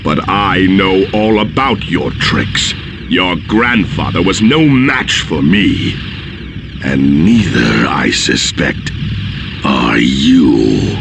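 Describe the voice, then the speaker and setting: An actor playing a menacing, arrogant alien villain in a video game, with a gravelly voice and a deliberate, condescending manner. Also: male voice, deep voice, expressive, noisy background, heavily acted. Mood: cold menace. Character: menacing, arrogant alien villain, adult male, coldly threatening. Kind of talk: video game cutscene acting.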